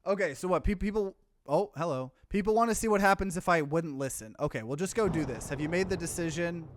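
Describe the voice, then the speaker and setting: A man with a fairly high voice narrates a game for a let's play. He reads on-screen text plainly, then slips into casual, offhand commentary. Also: lively intonation, quick pace, no room sound.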